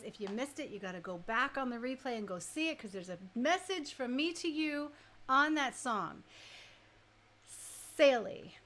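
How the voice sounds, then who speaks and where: Casting: female online radio DJ, high-pitched voice, chatty and effusive, informal live-stream patter between songs. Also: a bit bright. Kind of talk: casual live-stream music show chat.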